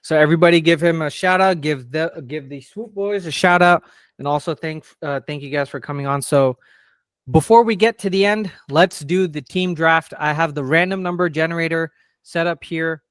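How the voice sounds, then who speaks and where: A man's voice: on the high side, normal speed, fairly bright tone, clear, resonant tone, no echo. A man in his twenties hosting a casual sports podcast, upbeat and steering the segments. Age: 20s. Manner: upbeat, runs the segments with fillers. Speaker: podcast host.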